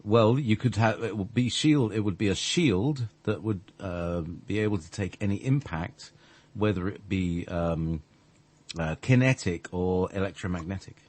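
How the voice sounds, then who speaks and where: A man's voice: low-pitched, unhurried, a little mellow. A man guest on a radio talk show, chatting in a rambling, hesitant way with many restarts. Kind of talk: rambling conversational chat.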